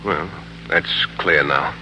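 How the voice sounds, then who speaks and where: An actor playing a reserved, plainspoken, wary husband in a 1940s radio drama. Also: male voice, very deep voice, fairly even intonation, slow pace, bright, forceful tone, exaggerated voice. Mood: wary, calm. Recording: humming, noisy 1940s radio recording.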